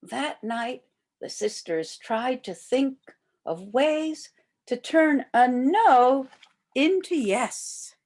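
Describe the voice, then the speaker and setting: An older woman reading a picture book aloud to young children as a volunteer storyteller, with expressive, drawn-out emphasis. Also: high voice.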